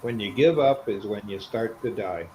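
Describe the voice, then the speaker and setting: An older man talks casually in a lowish voice, plain-spoken and given to reminiscing. There is clear noise in the recording behind him. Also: moderate pace. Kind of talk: casual chat.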